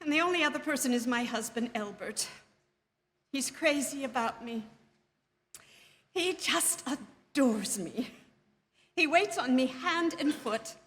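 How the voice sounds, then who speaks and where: An actress playing a nervous, demanding bedridden wife in a theatrical radio suspense drama, querulous and melodramatic. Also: female voice, high-pitched, expressive, a little slow, pauses often, fairly bright tone. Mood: anxious, fretful. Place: theatre stage. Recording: live theatre stage, long hall reverb.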